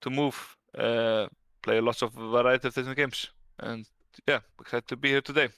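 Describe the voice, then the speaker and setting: A man's voice: mid-range voice, steady tone, moderate pace, fairly bright tone, clean tone, no room sound. A man from Iceland, a brand director and guest, answering interview questions in an easygoing way with frequent restarts.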